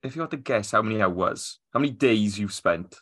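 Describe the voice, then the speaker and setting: A man hosting a podcast, animated and quick to laugh and apologise. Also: on the low side. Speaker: podcast host. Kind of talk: casual chat.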